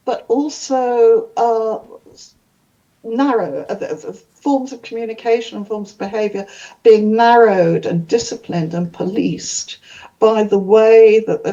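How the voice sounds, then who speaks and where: An older woman whose first language is Welsh, speaking thoughtfully on a conference panel with halting restarts. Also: mid-range voice, mellow, highs cut off. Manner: thoughtful, many fillers and restarts.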